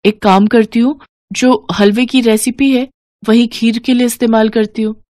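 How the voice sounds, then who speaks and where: A woman's voice: fairly high pitch, fairly even intonation, normal speed, darkish tone, dry recording, close to an everyday voice. A woman voicing a naive, sweet young new bride, polite and earnest, in a cartoon story. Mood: dismayed. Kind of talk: Hindi cartoon story voice acting.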